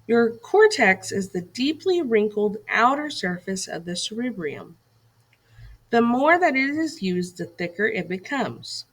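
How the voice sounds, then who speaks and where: A woman's voice: mid-range voice, slightly rough. A woman reading a scripted educational lesson aloud to children as a teacher, putting questions and directions to young listeners.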